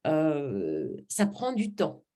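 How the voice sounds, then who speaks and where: A woman's voice: deep voice, huge pitch swings, very slow, warm tone. Woman fielding webinar questions. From Normandy, France. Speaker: webinar presenter and marketing trainer. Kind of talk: conversational advice, fielding audience questions.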